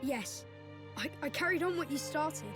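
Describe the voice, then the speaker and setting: A woman voicing a gentle, wise young boy prince in a video game cutscene, speaking in a measured, earnest way. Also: high-pitched, lively intonation. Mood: earnest, calm. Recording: orchestral game music behind.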